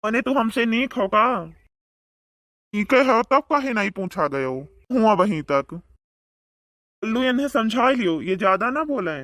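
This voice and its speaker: A man's voice: high-pitched, very animated, unhurried, pauses often, a bit bright, no echo, clean studio sound, heavily acted. A man voicing a boastful, bickering village man in a comedy cartoon, speaking with an Awadhi accent and taunting banter. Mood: quarrelsome. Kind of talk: comedic cartoon dialogue in rural dialect.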